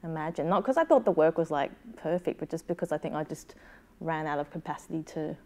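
An Australian woman in her thirties with a slightly low voice talks in a casual interview. She is thoughtful and self-deprecating, feeling her way through sentences with pauses and hedged phrasing, like a writer explaining her work. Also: lively intonation, mellow. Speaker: playwright being interviewed. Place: small room, seated indoors.

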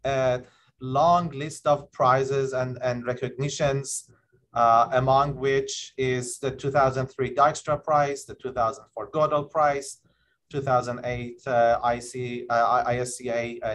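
A man introducing a keynote speaker at a conference, reading through a list of awards in a halting way with many fillers. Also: medium pitch, fairly bright tone, a little hiss or hum. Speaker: session chair introducing the keynote. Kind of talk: formal introduction.

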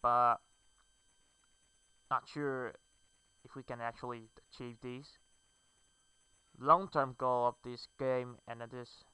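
Clear, bright-voiced Brazilian man. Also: mid-range voice, steady tone, slow, long pauses. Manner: hesitant, fillers, non-native phrasing. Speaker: gamer. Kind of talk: game commentary.

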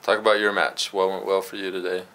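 A man with a very deep voice asking post-game questions in a loose, casual way. Faint room noise sits behind him. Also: little pitch movement, fast, fairly bright tone. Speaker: reporter.

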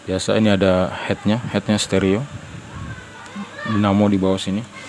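A man giving a casual, halting, informal hands-on demonstration, pausing mid-phrase. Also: fairly low pitch, little pitch movement, noisy background. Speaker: host of a DIY audio channel.

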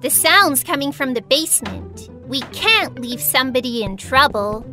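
Cheerful little cartoon girl, really high and lively, talks slow n simple for kids. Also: exaggerated voice. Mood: curious, wondering. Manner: clear, expressive, addresses young viewers simply. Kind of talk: children's cartoon acting. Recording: music behind the voice.

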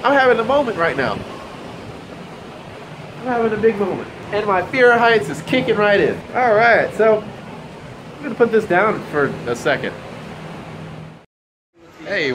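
Excited young man vlogging on vacation. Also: fairly high pitch, noisy background. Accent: Southern US. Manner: excited, chatty and amazed. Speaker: fishing vlogger. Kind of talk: casual vlog talk to camera. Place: condo balcony, beach and big store. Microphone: handheld camera at arm's length.

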